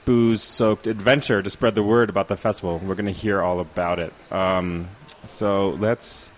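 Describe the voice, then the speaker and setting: A man's voice: deep voice, fairly even intonation, normal speed. A man hosting a casual podcast from a pub at a distillery, joking loosely and using many fillers. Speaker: podcast host.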